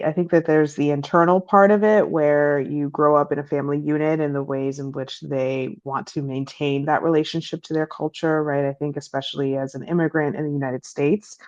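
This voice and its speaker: A young adult woman from Peru, speaking as an interview guest over a remote call, reflective and thoughtful, with frequent um fillers. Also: no room sound. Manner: reflective, many um fillers, rising pitch when animated. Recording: dull lo-fi call audio.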